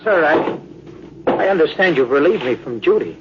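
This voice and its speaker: An actor playing an earnest, determined young lieutenant in a 1950s radio drama. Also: male voice, fairly high pitch, animated, normal speed, close to an everyday voice. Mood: pleading, determined. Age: young adult. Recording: dull old radio recording, faint noise.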